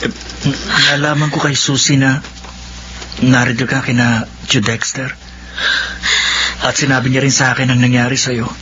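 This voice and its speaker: A man's voice: medium pitch, flat delivery, moderate pace, pauses often, pushed and bright, muffled recording, slight background noise, natural voice. A young Filipino man acting in a radio drama as a meek, remorseful younger brother, earnest and polite. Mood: hesitant.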